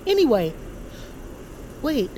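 A woman's voice: sits high, big pitch swings, takes it slowly, noisy background, full character voice. A woman voicing a chatty, scatterbrained character in a cartoon audio drama. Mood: chatty, sly.

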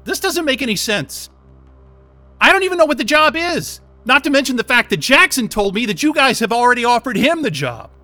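An agitated captive techie in an audio drama, high-pitched for a man and sharply tense. He is sarcastic and defiant, with anger and fear pushing at his words. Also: big pitch swings, fairly quick, sharp tone, faint music behind, exaggerated voice. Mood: bewildered. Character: angry, sarcastic, panicked male software developer held captive.

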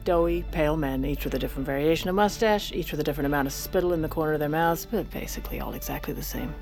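A woman's voice: deep voice, rapid-fire, a little mellow, faint music behind. An actress playing a wry, bossy First Lady with an American accent and a dry, sarcastic manner in an audio drama. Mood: smug, wry. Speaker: lead character and narrator. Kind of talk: audio drama acting and narration.

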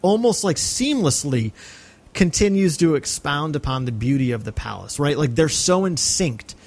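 An American man co-hosting a podcast, talking casually and reflectively about film, effusive and often halting to repeat phrases. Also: a little high, animated, a little mellow. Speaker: podcast co-host.

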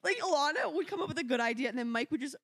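An excitable young woman co-hosting a casual chat. Her voice sits high and jumps around a lot. She sounds close and dry, telling stories with big drama. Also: rapid-fire, no room sound. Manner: excitable, giggly, dramatic storyteller. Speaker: podcast co-host.

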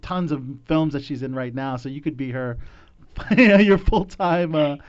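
A man interviewing an actress, enthusiastic and quick to laugh. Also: a little high, clean tone. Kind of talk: casual interview. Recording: dull lo-fi sound.

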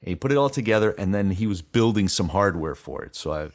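Man, middle-aged, lowish pitch, casual chat, show co-host, enthusiastic, rambling storyteller. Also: expressive, quick pace.

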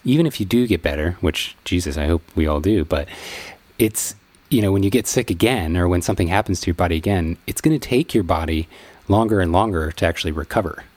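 A man's voice: low-pitched, fast talker, darkish tone, no echo. A man co-hosting a podcast, a photographer chatting in an easygoing way and laughing often, recorded on poor phoned-in audio. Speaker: podcast co-host, wedding photographer. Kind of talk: casual chat.

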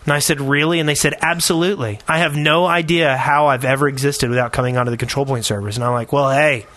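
A man's voice: mid-range voice, steady tone, fast talker, a bit bright. A man co-hosting a gaming podcast, chatting casually in a playful, mock-incredulous way. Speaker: podcast co-host.

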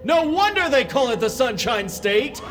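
An actor playing a dim, swaggering male movie heartthrob in an audio drama, with dopey, halting bravado. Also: very high-pitched, sing-song, a bit bright, full character voice. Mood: dopey, pleased.

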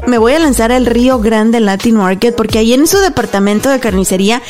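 A woman radio host with a fairly high voice speaks in a conversational presenting style. There is faint music behind her. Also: fairly quick, a little mellow. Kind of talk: conversational hosting and interviewing.

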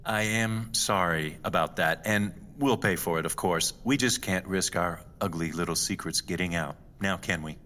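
Spoiled wealthy heir, low sharp voice, sardonic, petulant, audio drama, music behind. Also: male voice, moderate pace, few pauses, slight background noise. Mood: smooth politeness. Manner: haughty, sardonic, swooping delivery. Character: greedy, bitter rich heir, theatrical and petulant. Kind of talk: dramatic audio fiction acting.

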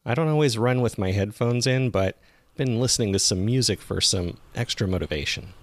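A man narrates as a podcast host, working from a script. His voice sits low, with plenty of gravelly fry at the bottom. The delivery is that of a storyteller reading prepared copy. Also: a little fast, no echo.

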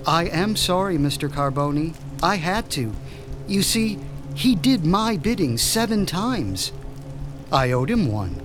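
A man as a calm, sinister mad doctor. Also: a little high, unhurried. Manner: cool, polite, quietly menacing. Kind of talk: audio drama acting. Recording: music score behind voice.

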